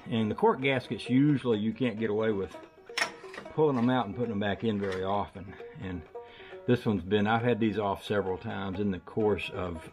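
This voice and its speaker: Man, folksy, casual, mid pitch, guitar music clearly behind. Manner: folksy, thinking aloud with fillers. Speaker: home mechanic vlogger. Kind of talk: casual workshop narration.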